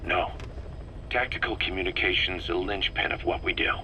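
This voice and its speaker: A blunt, bright-voiced commander. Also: male voice, low voice, moderate pace, slight background noise. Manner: blunt, questioning, worried. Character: adult male military commander, blunt and wary. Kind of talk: video game cassette tape dialogue.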